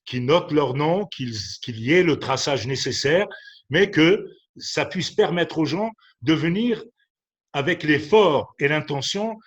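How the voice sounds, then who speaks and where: A man with a slightly high voice speaks in a measured, thoughtful way. He pauses often on fillers as he builds each point. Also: lively intonation, slightly rough, dull audio. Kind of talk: panel discussion on religion.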